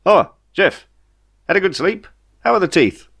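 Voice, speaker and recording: Guy doing a breezy alien hairdresser pilot, chatty, lively pitch, comic audiobook. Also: unhurried, gravelly, breathy edge, heavily acted. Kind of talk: comic sci-fi audiobook dialogue.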